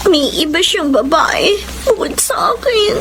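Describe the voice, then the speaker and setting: Very high-pitched plaintive wife. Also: female voice, sing-song, a little slow, full character voice. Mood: timid, tearful. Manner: plaintive, emotional. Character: abused young wife, tearful, pleading yet defiant. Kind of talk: Filipino radio drama acting with letter narration. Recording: loud background music.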